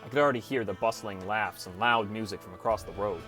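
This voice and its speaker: A wry teenage hero, played by a man narrates a fantasy game audiobook in the first person. His delivery is understated and downcast, in a fairly low voice. Faint music runs beneath it. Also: fast talker, a bit bright, close to an everyday voice. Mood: reflective narration.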